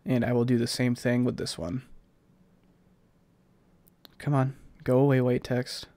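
A man's voice: medium pitch, flat delivery, a little slow, mellow, no room sound. A man with a slightly stuffed-up, scratchy voice giving casual, rambling gameplay commentary as a let's play host.

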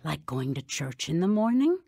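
A woman playing a kindly older relative in an audio drama, gentle, reassuring and playful. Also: low voice, very animated, normal speed, gravelly, slightly rough, no room sound, clean studio sound, heavily acted.

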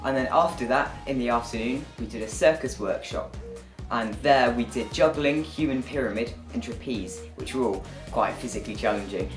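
A man's voice: mid-range voice, moderate pace. A teenage British male student explaining what his exchange group did. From United Kingdom. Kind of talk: explanatory recap. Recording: echoey room with music behind.

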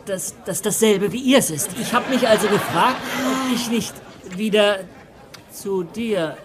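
An actor playing an awkward, apologetic, needy friend in a German-dubbed sitcom, hesitant and halting. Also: male voice, sits high, fairly bright tone.

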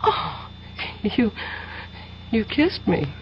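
A woman's voice: mid-range voice, big pitch swings, extremely slow, creaky, heavily acted. An actress playing a tender, flirtatious American woman in love in a radio drama, with an American accent. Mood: tender, coy. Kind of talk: 1970s radio drama acting. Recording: muffled, noisy old radio recording.

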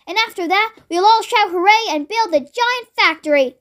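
A child voicing an excitable, impatient farmer father in a storybook, exclaiming and bossy. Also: very high voice, normal speed, voice pushed up. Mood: eager.

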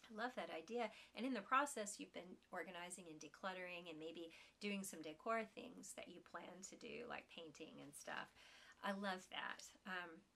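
A woman's voice: on the low side, moderate pace, gravelly. A woman in her forties hosting a knitting podcast from a small room at home, chatting to listeners in a rambling way, her voice strained by allergies. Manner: chatty and rambling, warm laughs, frequent restarts. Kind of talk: casual chat to listeners.

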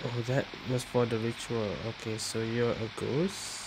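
A woman's voice: rumbling low, steady tone, normal speed, noisy background. A woman giving casual gameplay commentary as a game streamer. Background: loud game music.

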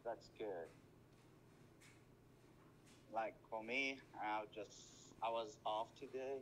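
A man from Morocco speaks in a lowish but bright, sharp voice. He talks shyly, hesitating and filling gaps with fillers. Faint background noise sits under the line. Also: steady tone, takes it slowly, rough recording. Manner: hesitant, shy, many fillers. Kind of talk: casual phone chat.

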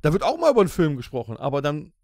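Male podcast host, high voice, emphatic blunt ranter, very dry close sound. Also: huge pitch swings, racing, a little mellow, no echo. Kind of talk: casual banter.

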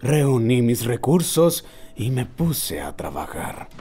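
A man voicing an obsessive old man who recounts his lifelong fixation in a solemn, deliberate horror narration. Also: mid-range voice, very animated, mellow, slightly rough, soft background music, full character voice. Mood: brooding, solemn. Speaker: amateur narrator of a horror short. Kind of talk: scripted first-person horror narration.

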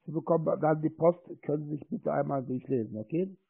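Male telesales caller, slightly high and gravelly, on a tinny phone connection. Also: a little fast. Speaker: telephone sales caller. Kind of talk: cold-call pitch. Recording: noisy narrowband phone line.

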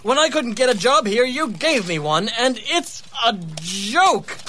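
A man's voice: extremely high pitch, sing-song, unhurried, bright, forceful tone, slight background noise, full character voice. An actor playing a bitter, intense man accusing his rival in an audio drama. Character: bitter ousted male radio host Len, accusing. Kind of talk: radio drama acting.

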